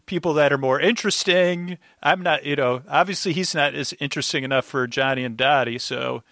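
A man's voice: fairly high pitch, fairly quick, fairly bright tone, no room sound, studio quality. A man chatting casually as a podcast guest, with a rambling, wry way of commenting.

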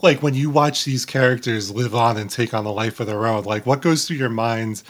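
A man interviewing casually as a podcast host, in a halting way, often rephrasing as he goes. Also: mid-range voice.

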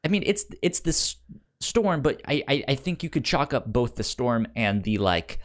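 A man co-hosting a film podcast, talking casually in a halting way, often repeating syllables as he finds his words. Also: medium pitch, very animated.

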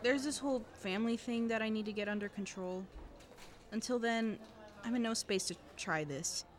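A woman's voice: on the high side, normal speed, lightly acted. A woman acting as an anxious, guarded teenage student in a fiction podcast, awkward and dryly evasive. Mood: apologetic, awkward. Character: anxious teenage student girl who records cassettes, guarded. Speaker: voice actor.

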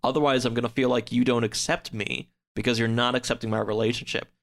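A man's voice: medium pitch, flat delivery. Rambling man in casual chat. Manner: halting and rambling, full of "like". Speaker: podcast guest. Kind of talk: casual chat recapping a story.

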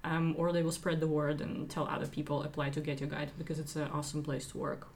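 A woman's voice: deep voice, little pitch movement, fast, slight background noise. A woman from Ukraine, a recruiting team lead answering interview questions earnestly, with some fillers.

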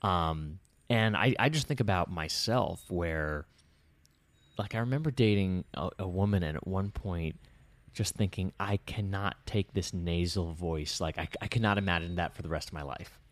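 A man's voice: sits low, normal speed, no room sound. A man co-hosting a casual talk show, a public speaker who reflects aloud and often restarts his sentences while thinking. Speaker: podcast co-host and public speaker. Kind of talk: casual conversational chat.

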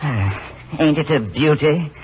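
An actor playing a bashful, folksy small-town churchgoer with a halting, stammering delivery in 1940s radio drama style. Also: male voice, on the high side, lively intonation. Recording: hissy, dull vintage radio recording.

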